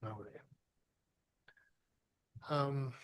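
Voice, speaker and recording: A man serving as mayor, chairing a council meeting in a halting, polite way and often searching for words. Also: medium pitch. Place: council chamber.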